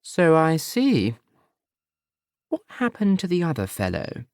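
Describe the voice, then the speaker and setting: A simpering, genteel mother in an audiobook, as a male reader puts her on. She draws her words out slowly and sweetly, her pitch rising and falling a great deal. The sound is dry and close. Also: wildly swooping pitch, darkish tone, no echo, full character voice. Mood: cloying. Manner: simpering, slow and cloying. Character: genteel fussing mother, older woman.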